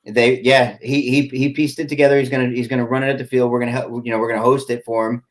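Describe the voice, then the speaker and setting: Forties male field owner, mid-pitched, loose and rambling, heavy on fillers, video-call podcast guest. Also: little pitch movement. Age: 40s. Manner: rambling, halting with many fillers. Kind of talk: casual podcast chat.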